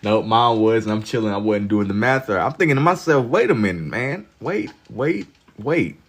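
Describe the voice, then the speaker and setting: A man in his twenties with a very deep voice hosts a livestream and chats with viewers. He talks in relaxed slang and turns loud and laughing when chat teases him. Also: normal speed. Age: 20s. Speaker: livestream host. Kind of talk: casual chat with viewers while drawing.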